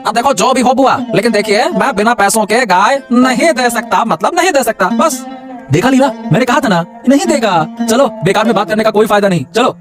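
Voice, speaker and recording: A man voicing an excitable, grumbling village man in a cartoon folk tale, repeating words and exclaiming. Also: very high-pitched, brisk, music in the background. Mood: annoyed. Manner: stammering repetition, exclamatory. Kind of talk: cartoon moral-story voice acting.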